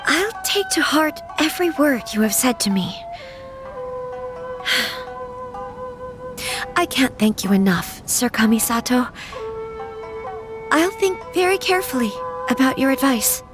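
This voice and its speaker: An actress playing a gentle, well-bred young noblewoman in a video game, polite, emotive and hesitant in her speech. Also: high-pitched, very animated, takes it slowly, pauses often, exaggerated voice. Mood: grateful, polite. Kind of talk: scripted video game voice acting. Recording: game music behind.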